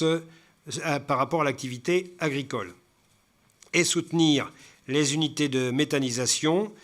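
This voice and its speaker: A man giving a formal report as a local councillor, reading out points haltingly, stumbling and repeating words as he goes. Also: mid-range voice, a bit bright. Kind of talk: formal presentation read from slides with spoken asides.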